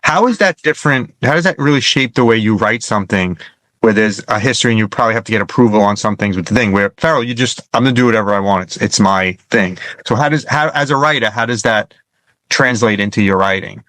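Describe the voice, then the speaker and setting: A man's voice: fairly low pitch, fast talker, fairly bright tone, no room sound, poor audio. Man hosting a casual interview, dry sound. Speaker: interviewer, show host.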